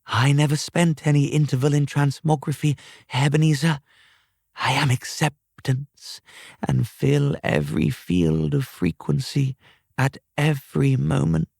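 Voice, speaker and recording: A man voicing a calm, guiding female spirit in a storybook reading, serene, formal and cryptic. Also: on the high side, unhurried, vocal fry, husky, dry recording, studio quality, pitched above the performer's own voice, exaggerated voice. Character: calm, guiding ghost of Scrooge's sister.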